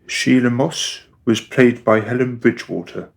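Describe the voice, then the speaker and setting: A man narrating a radio play from a script in a measured storytelling manner. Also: medium pitch, vocal fry.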